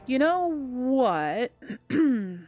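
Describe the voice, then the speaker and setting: A woman's voice: high-pitched, sing-song, very slow, warm tone, dry recording, faint music behind. A woman giving casual let's play commentary, chatting and thinking aloud as she goes. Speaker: gaming channel host.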